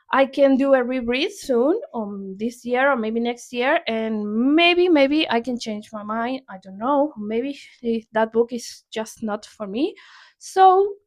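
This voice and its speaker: A young woman from Argentina with an Argentine accent, a native Spanish speaker chatting casually as a book vlogger and often restarting her sentences. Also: fairly high pitch. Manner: unhurried, chatty, restarts and corrects herself often. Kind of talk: casual chat.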